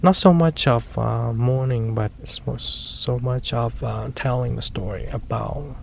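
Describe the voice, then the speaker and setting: A man's voice: mid-range voice, measured pace, vocal fry. A man answering in an interview, hesitant, with many fillers and restarts.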